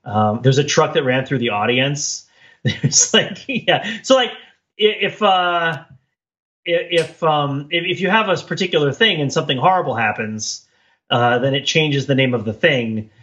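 A man's voice: medium pitch, lively intonation, moderate pace, a bit bright, dull audio. A man co-hosting a podcast in casual analytical discussion, talking discursively and often checking in with "right?". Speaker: podcast co-host.

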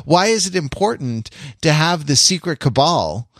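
A man co-hosting a podcast in casual intellectual discussion, wordy and halting, often rephrasing what he has just said. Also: a little high, sing-song, dry recording, clean studio sound. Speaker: podcast co-host.

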